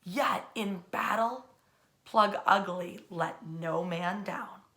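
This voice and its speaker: Bright, expressive American woman telling history stories. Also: lowish voice. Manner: emphatic, theatrical phrasing with deliberate pauses. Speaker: storyteller, history narrator. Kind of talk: dramatic bedtime-style history storytelling.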